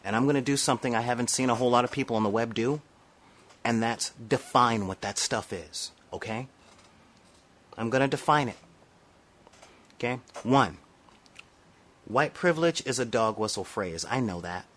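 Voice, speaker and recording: Middle aged american guy ranting to camera, earnest and emphatic, mid pitched voice. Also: normal speed, pauses often, fairly bright tone. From South Central Los Angeles, United States. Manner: emphatic, earnest, repeats phrases for stress. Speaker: vlogger. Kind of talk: unscripted opinion rant to camera.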